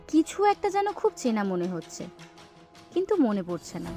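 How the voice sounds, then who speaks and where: A woman's voice: a little high, darkish tone. A woman narrating a scripted suspense story in a dramatic way.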